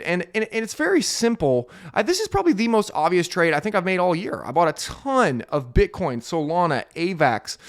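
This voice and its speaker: Hyped, nasal man explaining crypto charts. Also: sits high. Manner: hyped, sarcastic, fast-talking. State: slightly sick, nasal. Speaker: crypto YouTuber. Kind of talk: excited trading chart walkthrough.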